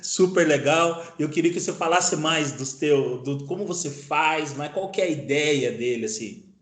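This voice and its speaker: A man's voice: fairly high pitch, normal speed, a bit bright. A man with a Brazilian Portuguese accent interviews a guest in a casual, conversational style. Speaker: interviewer, host. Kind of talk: casual chat. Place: indoor room, video call. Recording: echoey room on a video call.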